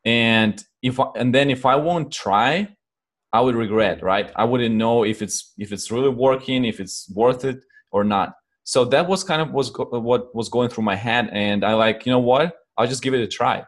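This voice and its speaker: A man's voice: mid-range voice, moderate pace. Ukrainian-accented man telling his story. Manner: reflective, with frequent restarts and rephrasing. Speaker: guest. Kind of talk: casual interview answer.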